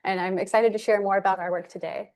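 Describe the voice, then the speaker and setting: A woman panelist reading a formal land acknowledgment and introducing herself, in a measured, formal way. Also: mid-range voice, fairly even intonation, a little mellow. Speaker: panelist, assistant director of a university program.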